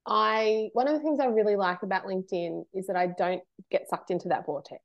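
A woman guest on a podcast, a trained marketer talking in an animated, emphatic way and laughing between points. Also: medium pitch, fairly quick, vocal fry, lo-fi sound. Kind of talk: casual interview chat.

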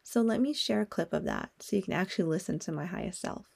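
A woman's voice: on the low side, lively intonation, fast, vocal fry, a little mellow, husky. A young woman hosting a solo podcast, talking in a candid, personal way and swearing now and then. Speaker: podcast host.

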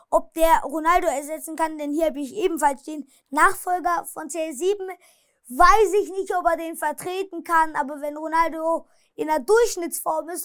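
A woman's voice: extremely high pitch, a bit bright, no echo, clean studio sound. A woman casually recapping football matches and transfer rumours, hesitating a lot and apologizing for her pronunciation. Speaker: football news vlogger.

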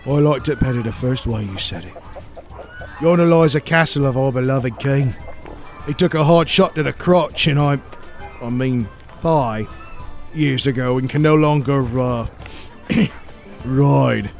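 A man's voice: medium pitch, unhurried, gravelly, pauses often, soft background music. Man playing cheeky peasant. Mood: sly, gossipy. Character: cheeky British peasant, chatty and blunt. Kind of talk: 1940s-style radio play acting.

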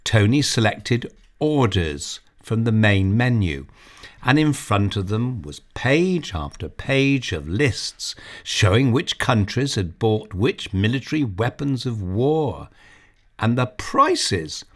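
A man reading a children's story aloud, narrating in an expressive, measured way. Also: fairly low pitch.

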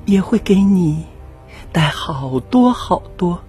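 A woman voicing a tender, sorrowful, self-sacrificing mother wolf, gently coaxing and soothing in an audio drama. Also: lowish voice, animated, soft background music, voice pushed up. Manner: slow, gentle, coaxing speech with sighs.

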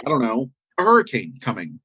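Male, American. Husky voice, muffled lo-fi sound, casual and earnest, rambles haltingly. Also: medium pitch, wildly swooping pitch, sharp tone, no echo. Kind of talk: casual conversation, earnest opinion.

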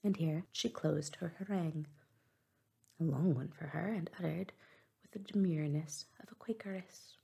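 Woman narrator, whispered audiobook reading, low-pitched, warm dark sound. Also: normal speed, vocal fry, husky. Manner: soft unvoiced whisper throughout.

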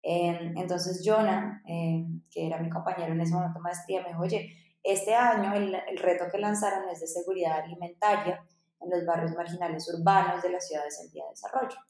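A woman's voice: lowish voice, level tone, a little fast, a little mellow. A woman, telling her story as a podcast guest in a chatty way, with many fillers. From Colombia. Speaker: podcast guest, startup founder. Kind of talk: casual interview storytelling. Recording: echoey room.

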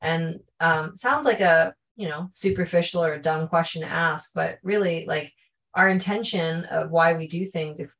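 A woman speaks as a podcast guest. Her answers are thoughtful and earnest, and she often pauses mid-sentence to find the right word. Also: on the low side, a bit bright. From Canada. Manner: thoughtful, earnest, searching for words. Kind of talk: reflective interview answers.

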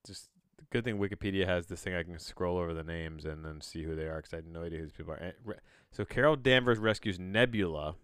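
Smooth low guy voice doing a solo podcast, keeps restarting his sentences, kinda bemused, dry close mic. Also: fairly bright tone, no room sound. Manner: halting, self-interrupting, often backtracking mid-sentence. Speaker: podcast host. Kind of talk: rambling solo podcast chat.

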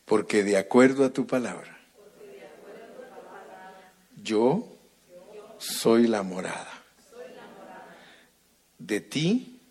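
A man preaches at an evening church service with a deliberate, unhurried delivery in a somewhat low voice. He stresses key words and builds into a fervent, rising prayer. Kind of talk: sermon and prayer.

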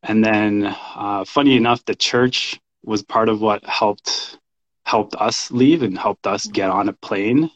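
A man in his thirties telling his personal story casually as an interview guest, in an informal, halting way. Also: fairly low pitch. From Canada. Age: 30s. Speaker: podcast guest, men's coach and shamanic practitioner. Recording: dull, lo-fi voice recording.

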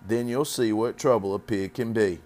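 A gentle farmer father from a storybook, read by a man. His voice sits on the low side. He reasons patiently, kindly but firm. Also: normal speed, lightly acted. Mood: relenting.

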